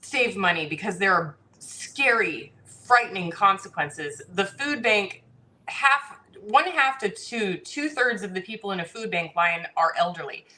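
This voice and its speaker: A woman's voice: medium pitch, animated, a little slow, sharp tone, poor audio. A woman in her forties, a guest in a casual interview, talking bluntly and emphatically about saving money. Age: 40s. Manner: emphatic, blunt, lecturing with repetition.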